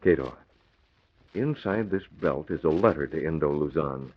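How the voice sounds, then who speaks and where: An actor playing an earnest, decisive crimefighting hero with a husky voice in a 1940s radio adventure. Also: male voice, a bass voice, animated, normal speed, heavily acted. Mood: excited, explaining. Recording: muffled vintage radio recording.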